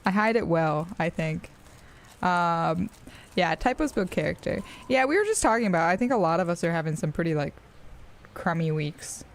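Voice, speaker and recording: A young woman with an American accent chats casually to her stream audience in a slightly low voice. She rambles from thought to thought with plenty of fillers. Easy laughs keep breaking into her talk. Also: expressive, normal speed. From Australia. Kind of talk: casual live-stream chat.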